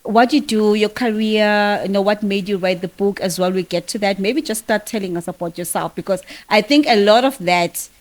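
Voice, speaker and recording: A woman's voice: medium pitch, normal speed, hardly any pauses. A woman from KwaZulu-Natal with a South African accent, hosting a book interview and asking long, eager, meandering questions. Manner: enthusiastic, rambling questions. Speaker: podcast host. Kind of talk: conversational interview.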